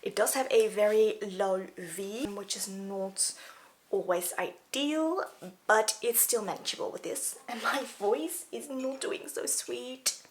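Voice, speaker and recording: A woman's voice: mid-range voice, takes it slowly, a little hiss or hum. A young woman from the Netherlands, chatting casually through a clothing walkthrough with fillers and asides while she is losing her voice. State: losing her voice, sore throat. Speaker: fashion vlogger. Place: indoors, small plain room.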